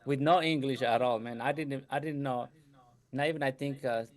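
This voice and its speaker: A man's voice: medium pitch, steady tone, normal speed. A middle-aged man from Ecuador with a light Ecuadorian Spanish accent, telling his story as a guest in a casual interview, chatty and laughing often. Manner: chatty, laughs often, says "you know" a lot. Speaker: guest entrepreneur.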